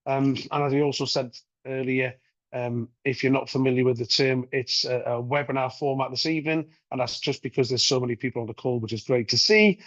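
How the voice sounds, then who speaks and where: Croaky guy running a webinar on a video call, lots of ums, dull dry sound. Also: mid-range voice, a little fast, no echo. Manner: many ums and uhs, steady explanatory delivery. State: croaky from a recent cold. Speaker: playing data manager presenting a webinar. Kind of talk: webinar presentation. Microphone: video call microphone.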